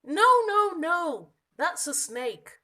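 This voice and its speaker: Woman voicing a plaintive, bright-voiced little lost monkey. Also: very high voice, sing-song, voice pushed up, exaggerated voice. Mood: exasperated protest. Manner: emphatic, drawn-out, childlike protesting. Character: small lost young monkey, plaintive and easily exasperated. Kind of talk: children's storybook character reading.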